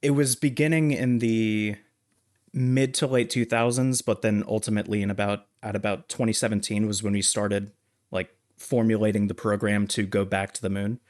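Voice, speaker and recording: A man co-hosting a casual film discussion, explaining things in a halting, measured way. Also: on the low side, steady tone, a little mellow. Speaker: podcast co-host.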